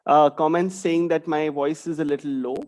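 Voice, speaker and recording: A man's voice: on the high side, fairly even intonation, moderate pace, a little mellow. A young man, giving an online talk to young schoolchildren over a video call and ending many sentences with "right?". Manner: tags sentences with a questioning "right?". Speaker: guest speaker for schoolchildren.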